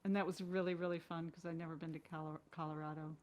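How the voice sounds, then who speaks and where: Woman w a lowish voice, guest on an interview, just chatting, candid and kinda second-guessing herself. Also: little pitch movement, quick pace, a little mellow. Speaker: guest actress. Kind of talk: casual interview chat.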